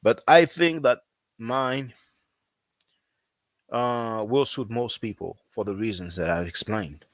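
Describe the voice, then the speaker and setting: A man who is not a native English speaker, talking casually as a language teacher, repeating himself often and filling pauses with "you know.". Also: on the low side, no room sound. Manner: repetitive, conversational, frequent fillers. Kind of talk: casual promotional talk.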